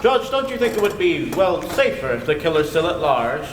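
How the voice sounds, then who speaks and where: A man's voice: fairly high pitch, big pitch swings, moderate pace, slight background noise. An actor playing a nervous, stammering male aide to a judge, in 1940s radio acting style, on an echoey old radio broadcast with music. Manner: hesitant, stammering, deferential.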